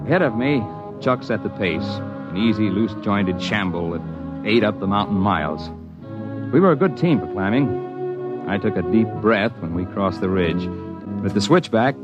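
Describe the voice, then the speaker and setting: Man narrating an old radio drama. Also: on the low side. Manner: steady, measured storytelling. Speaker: narrator and lead actor. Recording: dull lo-fi old radio recording with music.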